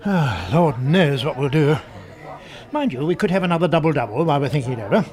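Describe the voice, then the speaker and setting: An actor playing a droll, matter-of-fact British best friend in a radio drama, chummy and wry. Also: male voice, a little high, expressive, fast talker, a little mellow, close to an everyday voice. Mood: droll chumminess. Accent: British English. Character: droll, dry pub-going best friend of the hero.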